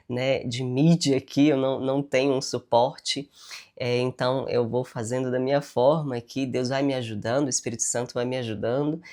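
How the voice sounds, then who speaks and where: A woman's voice: rumbling low, a little mellow. A Brazilian woman speaking with a nasal, stuffy voice from a cold, giving a chatty devotional talk with spoken prayer and tag questions. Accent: Brazilian Portuguese.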